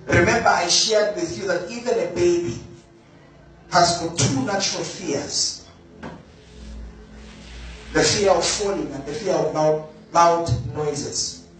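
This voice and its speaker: A man preaching a sermon, slow and emphatic, repeating key words for stress. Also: high voice, fairly bright tone, far from the mic. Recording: very echoey room, voice from afar, faint music.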